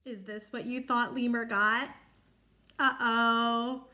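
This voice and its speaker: A woman's voice: fairly high pitch, level tone, slow, sharp tone. A woman reading a picture book aloud to children like a teacher, explaining and asking the listeners questions. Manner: instructive, asks listeners questions.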